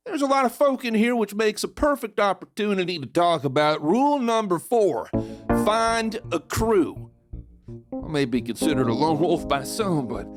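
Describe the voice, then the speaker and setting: An actor playing a cocky, swindling Old West outlaw who talks with folksy swagger in an audio drama. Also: male voice, fairly high pitch. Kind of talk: audio drama narration and dialogue.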